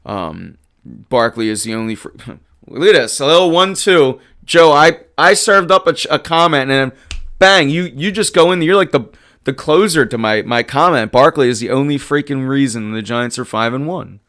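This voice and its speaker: A man hosting a live sports talk stream, casually answering chat and arguing football. His voice is hoarse, and he gets emphatic when he runs through stats. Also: mid-range voice, moderate pace. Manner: animated and emphatic, rattling off stats. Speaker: livestream sports show host.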